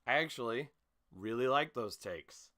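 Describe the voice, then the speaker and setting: Casting: male amateur voice actor, bright forceful tone, mid-pitched, casual and self-deprecating vlog chat. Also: animated, moderate pace. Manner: self-deprecating, easygoing rambling.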